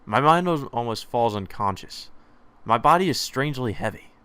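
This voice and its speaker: A man hosting a let's play, reading a visual novel's first-person text aloud in a measured, slightly hesitant way. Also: mid-range voice, lively intonation, sharp tone, smooth, dry recording.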